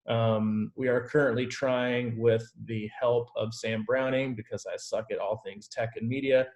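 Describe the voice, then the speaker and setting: A man's voice: mid-range voice, darkish tone. A man working as a triathlon coach, informally and reassuringly answering team questions on a video call.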